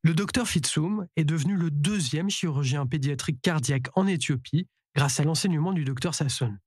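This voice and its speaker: A male news narrator with a slightly husky, somewhat high voice. He reads a scripted report in a measured, even way. The sound is dry and close. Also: dry recording.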